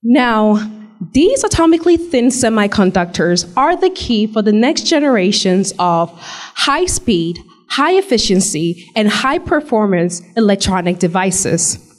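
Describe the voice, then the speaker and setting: A young woman giving a measured, explanatory research pitch into a handheld mic in a large hall. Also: sing-song. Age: young adult. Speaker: graduate student pitching research. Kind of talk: memorized elevator pitch. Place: large hall with glass walls, indoors. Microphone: handheld microphone.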